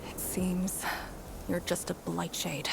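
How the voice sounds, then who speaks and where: A woman's voice: lowish voice, level tone, takes it slowly, creaky, noisy background, full character voice. An actress playing a resolute, dutiful young female warrior in a video game, speaking with an earnest, commanding manner. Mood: hushed, disappointed. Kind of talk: dramatic video game voice acting.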